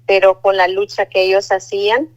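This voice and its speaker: A woman from Guatemala, calling in by phone to share a religious testimony and recite a prayer, measured and devout with hesitant fillers. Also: mid-range voice, little pitch movement, muffled recording. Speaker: caller giving a religious testimony. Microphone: phone line.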